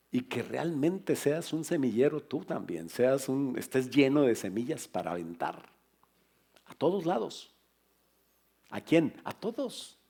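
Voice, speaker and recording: A middle-aged man, a pastor, preaching a lively sermon to his congregation in a church, with humor and asides to the listeners. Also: medium pitch, breathy edge.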